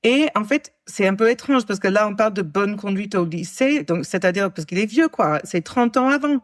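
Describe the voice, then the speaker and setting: A woman's voice: mid-range voice, lively intonation, moderate pace, dry recording, clean studio sound. A woman in her forties casually recounting a case to a co-host, with frequent fillers, restarts and wry asides. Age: 40s. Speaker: true-crime podcast co-host telling the case. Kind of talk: casual storytelling chat.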